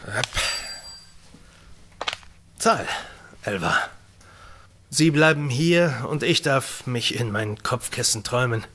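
Man acting a bright-voiced, responsible station leader. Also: medium pitch, takes it slowly, long pauses. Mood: concerned, organising. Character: male station leader, responsible, strained, authoritative. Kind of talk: German audio drama acting.

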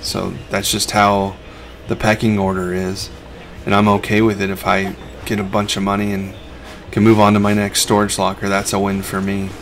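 A man's voice: fairly low pitch, completely flat delivery, normal speed, few pauses, a bit bright, a little hiss or hum. A middle-aged man, a reseller who hosts a vlog, narrating over his footage in a laid-back, conversational way.

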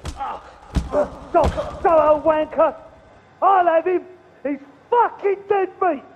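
An actor playing a hot-headed, crude and taunting London gangster in a video game, with a Cockney accent. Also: male voice, extremely high pitch, extremely slow, a little hiss or hum, exaggerated voice. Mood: enraged. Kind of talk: video game cutscene acting.

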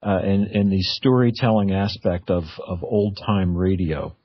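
A middle-aged man hosting a radio interview, chatting casually in a halting, reflective way. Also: deep voice, husky. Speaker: radio show host. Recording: dull, lo-fi radio audio.

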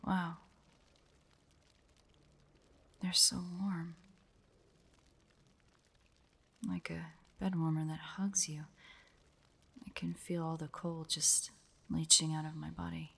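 A woman voicing a guarded, proud young hunter in an audio roleplay, terse and prickly, often dropping into hushed, breathy asides. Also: sits low, level tone, measured pace, exaggerated voice. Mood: quietly soothed. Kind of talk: dramatic audio roleplay, addressed to an unheard listener.

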